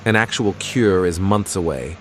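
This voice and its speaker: Low-voiced weary scientist played by a man. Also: animated, normal speed, slight background noise. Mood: bleak. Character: weary, guilt-ridden male research scientist after zombie apocalypse. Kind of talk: dramatic audio comic acting.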